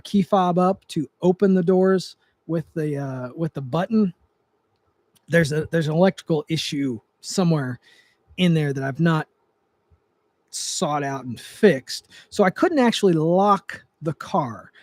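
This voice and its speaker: High-voiced man rambling on a livestream. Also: darkish tone, dry recording. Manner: rambling, with frequent restarts and fillers. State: voice getting dry. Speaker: livestream host, trigger tuner. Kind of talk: casual livestream chat. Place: his home, seated in a chair.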